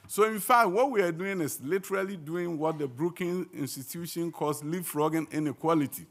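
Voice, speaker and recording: A man, an education minister, giving a conference speech, earnest and emphatic, with some fillers and restarts. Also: on the high side. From Ghana. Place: conference hall.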